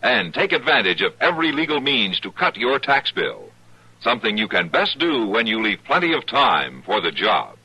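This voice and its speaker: A man's voice: lowish voice, sing-song, normal speed, sharp tone, slight background noise, poor audio. An American man reading a scripted vintage radio public service announcement in an emphatic, instructive way.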